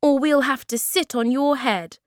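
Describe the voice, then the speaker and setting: A woman's voice: high-pitched, unhurried, no room sound, clean studio sound, voice pushed up. A woman voicing a blunt, bossy little male creature in a storybook. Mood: angry, bossy.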